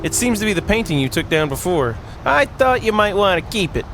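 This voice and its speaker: Male let's-player, playful, swings between narration and commentary, animated pitch, prominent music underneath. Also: brisk. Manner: playful, voices dialogue and reacts aloud. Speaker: video game streamer. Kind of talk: reading a visual novel aloud with playful commentary. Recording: music playing behind the voice. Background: game music.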